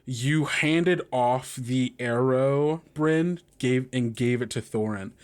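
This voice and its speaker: A man running a tabletop roleplaying game as game master, improvising narration in a halting, conversational way. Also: mid-range voice, clean tone.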